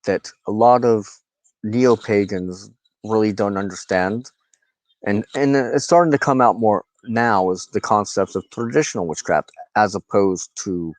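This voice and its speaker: A man giving a rambling, informal monologue to his viewers in a halting, reflective manner, often pausing mid-sentence. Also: lowish voice, no echo. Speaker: host of a personal spiritual channel, wand crafter.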